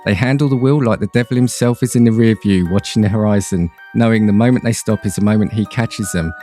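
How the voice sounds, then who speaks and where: A man playing an ominous, portentous movie trailer narrator reading a scripted pitch. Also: fast talker, dark tone, soft background music, close to an everyday voice. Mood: brooding, ominous.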